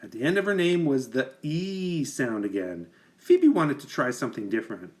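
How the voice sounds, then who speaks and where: Animated man reading a children's storybook. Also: mid-range voice. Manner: animated, deliberate emphasis for young listeners. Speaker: teacher reading a picture book aloud. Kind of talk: expressive children's storybook narration.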